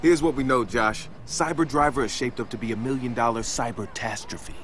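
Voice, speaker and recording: A man's voice: mid-range voice, fairly quick, a bit bright, slight background noise, natural voice. An actor playing a playful, upbeat young male hacker with casual, teasing delivery in a video game. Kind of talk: video game dialogue acting.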